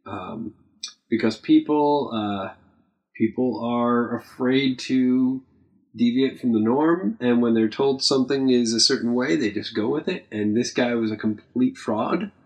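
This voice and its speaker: A young man chatting casually, rambling with many ums and uhs and trailing off quietly. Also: medium pitch, measured pace, dull audio. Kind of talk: casual podcast chat.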